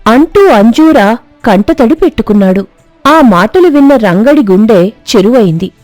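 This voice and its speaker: A woman narrates a scripted moral tale in a fairly high, mellow voice. The recording is dry, with music behind her. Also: lively intonation, normal speed, no room sound. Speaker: story narrator.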